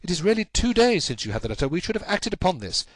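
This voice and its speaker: A man voicing a brilliant, impatient gentleman detective from a storybook, with an urgent, commanding, exclamatory delivery. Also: high-pitched, sing-song, fast talker, no room sound, pitched above the performer's own voice. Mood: brisk. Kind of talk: audiobook character acting.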